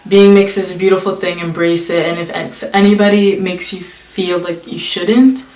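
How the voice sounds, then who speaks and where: A woman's voice: on the low side, steady tone, moderate pace, a little mellow. A young woman vlogger casually answering tag questions, reading each question aloud and filling her talk with "like". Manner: chatty, many "like" fillers, reads questions aloud. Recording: echoey room.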